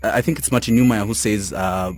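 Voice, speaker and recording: A man with a Kenyan accent, a guest in a radio studio, explaining branding in a measured way. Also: fairly low pitch, fairly even intonation, darkish tone, dry recording.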